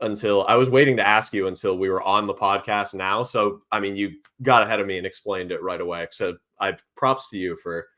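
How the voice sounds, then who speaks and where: A man from New England co-hosting a sports podcast in relaxed, easygoing chat. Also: fairly low pitch, brisk, few pauses. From New England, United States. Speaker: podcast co-host.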